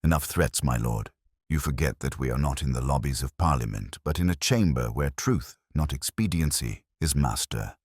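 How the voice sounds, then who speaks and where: A man voicing a shrewd, calmly relentless detective in an audiobook mystery, speaking in formal, measured, incisive phrases. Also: rumbling low, slightly rough, dry recording, studio quality, exaggerated voice. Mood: stern.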